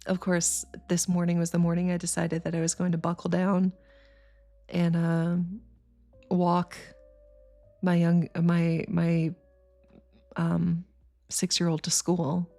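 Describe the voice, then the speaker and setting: A woman's voice: fairly low pitch, completely flat delivery, slow, long pauses, warm tone, soft background music. A tired woman in her thirties, sniffly and congested, chatting casually with her livestream viewers in a rambling way. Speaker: streamer.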